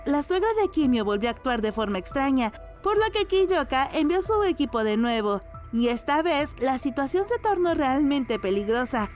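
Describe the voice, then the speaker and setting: A woman giving a scripted narration as the storyteller of a dramatic recap. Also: sits high.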